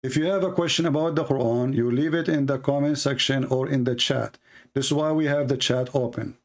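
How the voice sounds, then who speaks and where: A man's voice: medium pitch, brisk. A man hosting a live call-in debate stream, arguing in a combative, sarcastic way and speaking fluent English with non-native grammar. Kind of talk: heated live-stream argument.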